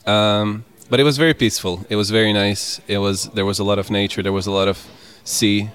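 A man's voice: fairly low pitch, fairly even intonation, a little fast, a bit bright. A young man from Croatia, a musician answering interview questions in English in an easygoing, reflective way. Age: young adult. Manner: easygoing, reflective, laughs often. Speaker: musician guest.

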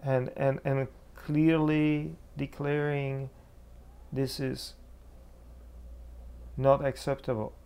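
Male Czech-accented preacher, unhurried and gravelly, thoughtful discussion guest who gropes for the right word. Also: mid-range voice, level tone, dark tone. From Czech Republic. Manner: halting, searching for words. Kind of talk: reflective theological discussion.

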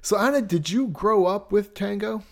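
A man hosting a podcast interview. He asks questions and keeps up a steady stream of quiet murmurs of agreement while his guest talks. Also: sits high, normal speed, creaky. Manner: attentive, frequent murmured backchannels. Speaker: podcast host.

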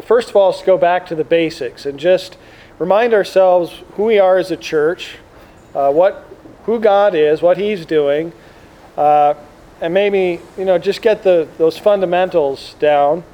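A man giving an informal religious talk to a gathering as a priest, reflective and halting, speaking up to be heard. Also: sits high, dark tone. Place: indoor room with air conditioning. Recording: clear background air conditioner noise. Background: air conditioner noise.

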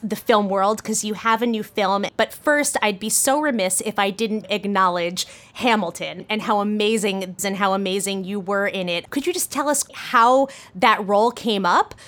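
A woman's voice: medium pitch, normal speed, few pauses, a bit bright. A woman hosting an interview series, introducing her guest and asking questions in a polished, upbeat way. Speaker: interview host.

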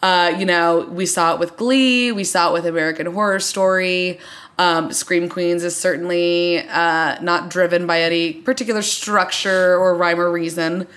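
A woman's voice: lowish voice, fairly even intonation, normal speed, a bit bright. A woman co-hosting a podcast, chatting casually about television in an informal way, peppered with "like" and "you know". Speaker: podcast co-host. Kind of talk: casual TV review chat.

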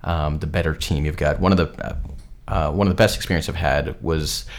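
A man from Massachusetts, an actor co-hosting a podcast, talking casually and explaining things in a thoughtful, measured way. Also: rumbling low, fairly even intonation, slight background noise. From Massachusetts, USA. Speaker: podcast guest host, actor. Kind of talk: casual chat.

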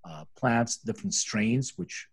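Low, husky American man. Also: lively intonation, unhurried. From Philadelphia, US, born in Lithuania. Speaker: interview guest, medicinal cannabis company founder. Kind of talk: conversational podcast storytelling.

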